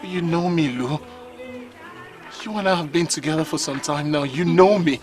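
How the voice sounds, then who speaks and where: A man's voice: a little high, normal speed, a crowd in the background, natural voice. An actor playing a remorseful man pleading with the woman he wronged to come back. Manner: pleading, repeating her name. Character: remorseful man begging his estranged lover. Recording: music underneath.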